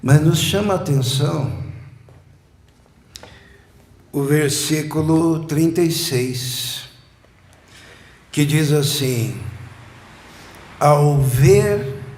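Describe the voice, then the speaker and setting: A man, preaching a sermon slowly and emphatically, building up repeated phrases. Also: fairly high pitch, animated, a little mellow. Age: 60s. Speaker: pastor. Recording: echoey church room.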